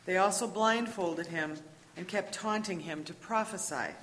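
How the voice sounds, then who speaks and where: A narrator delivers a dramatic scripture reading in a mid-pitched voice. Each sentence trails into the long reverb of a large hall. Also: measured pace, a bit bright, slight background noise.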